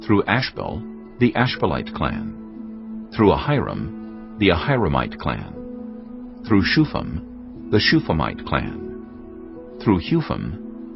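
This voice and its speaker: A man narrating scripture from a script in a solemn, deliberate manner. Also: sits low, creaky. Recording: slightly muffled, music underneath. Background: background music.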